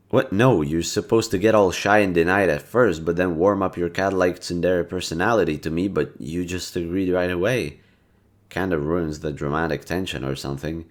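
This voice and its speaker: A man's voice: sits low, moderate pace, natural voice. A man playing a kind, teasing, easily flustered young guy in a roleplay audio, talking casually and playfully straight to the listener. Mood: flustered, excited. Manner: playful, casual and slangy, with muttered asides.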